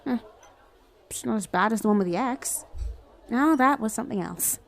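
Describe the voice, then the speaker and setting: A woman's voice: a little high, expressive, moderate pace, creaky. A woman playing a flirty, self-justifying villain in an ASMR roleplay, talking in a casual, playful, sardonic way. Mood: teasing, amused. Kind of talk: acted ASMR roleplay monologue.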